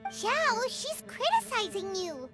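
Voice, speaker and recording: A woman voicing a tiny, childlike fairy companion in a video game, squeaky, chatty, blunt and cheeky. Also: big pitch swings, slow, full character voice. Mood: teasing. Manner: speaks of herself in third person, teasing. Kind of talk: video game character acting. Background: game music.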